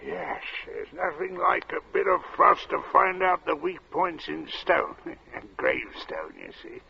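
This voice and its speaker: A man acting as a heat-worn, chatty working-class gravestone carver in a 1940s-style radio drama, with folksy, unhurried talk. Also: a little high, sing-song, gravelly, a little hiss or hum, heavily acted. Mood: chatty, weary. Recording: muffled old radio drama recording.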